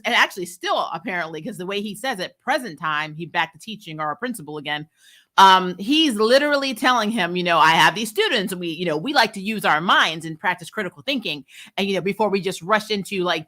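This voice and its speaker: A middle-aged woman giving a casual, opinionated recap as a review host, exasperated and animated, often mimicking other people's lines. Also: mid-range voice. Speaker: host of a fan TV review channel.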